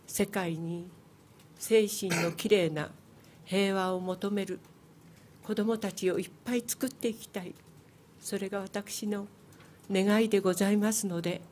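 A woman's voice: mid-range voice, darkish tone, slight background noise. An older woman speaking earnestly and politely at a press conference, sometimes restarting her words. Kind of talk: formal press conference statement. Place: large press club conference room. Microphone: table microphone on a stand.

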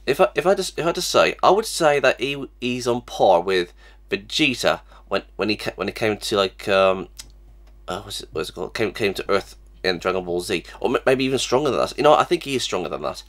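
A man's voice: lowish voice, animated, fairly quick, a bit bright. A young man with a cold, sniffly, hosting a casual reaction vlog and stammering through many restarts from a small room at his webcam. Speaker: reaction channel host. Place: small cluttered room indoors.

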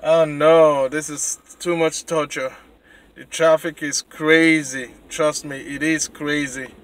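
A man in his thirties with a Nigerian accent, vlogging excitedly from his car at night into a handheld camera. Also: on the high side, slow. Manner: excited, chatty. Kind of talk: casual vlog. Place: car at night, then city street. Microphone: handheld camera at arm's length.

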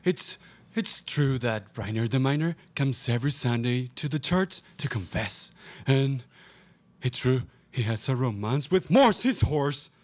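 A man's voice: mid-range voice, expressive, measured pace, natural voice. A young man playing a hesitant, apologetic priest in a live student radio play. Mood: reluctant, apologetic. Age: young adult. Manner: hesitant, pained. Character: reluctant, apologetic small-town priest. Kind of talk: student radio theatre acting. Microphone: stage microphone. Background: acoustic guitar.

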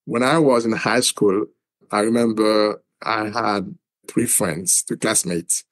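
Middle-aged Haitian man, interview guest, slow and halting, with a low, dry voice. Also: fairly even intonation, fairly bright tone, no echo, low-quality recording. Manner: halting, many repeated words. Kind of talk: interview answers.

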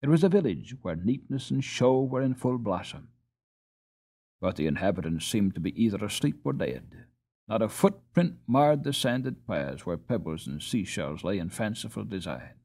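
A man narrating a storybook as an audiobook reader, in an expressive scripted style. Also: on the low side, moderate pace.

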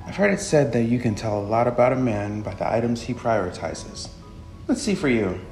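A man voicing a friendly, plainspoken delivery woman in a visual novel, chatty and expressive. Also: medium pitch, fairly quick, creaky. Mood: breezy, teasing. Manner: expressive, animated. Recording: echoey room under music.

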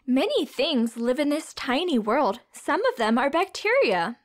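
A woman's voice: sits high, sing-song, measured pace. A woman reading a storybook aloud to young children as a teacher, expressively, with simple questions and explanations.

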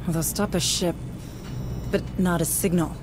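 A woman's voice: on the low side, steady tone, moderate pace, lightly acted, poor audio. An actress playing an anxious, earnest station administrator in a sci-fi video game, with music behind her. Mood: earnest, tense.

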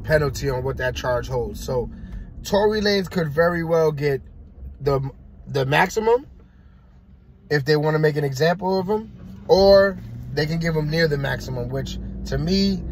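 A man in his thirties giving loose, opinionated commentary to his phone from inside a car, full of fillers and restarts. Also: on the high side. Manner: gestures with his hands while talking. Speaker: vlogger. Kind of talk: casual commentary to camera. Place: parked car, indoors of vehicle. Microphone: phone camera mounted in car. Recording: loud car and road noise.

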